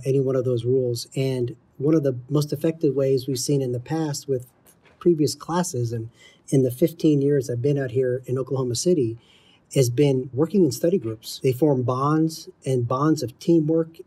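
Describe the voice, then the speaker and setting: Middle aged guy, soft dark tone bit husky, teacher type explaining stuff methodically. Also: medium pitch. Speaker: air traffic control instructor, interview guest. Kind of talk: explanatory interview talk.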